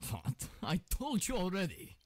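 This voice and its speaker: An actor playing a stern, grouchy middle-aged man in a visual novel game, with a gravelly, husky voice. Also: high voice, wildly swooping pitch, unhurried, no echo, heavily acted.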